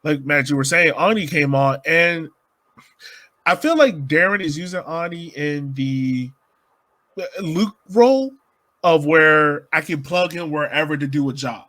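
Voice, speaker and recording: A man's voice: a little high, a little slow, sharp tone. A man co-hosting a podcast, chatting casually through soccer analysis. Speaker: podcast co-host.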